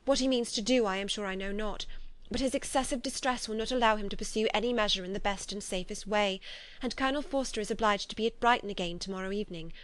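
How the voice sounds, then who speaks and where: A woman reading an anxious letter from a gentle young woman in a soft, measured, earnest way. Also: medium pitch, no echo, close to an everyday voice.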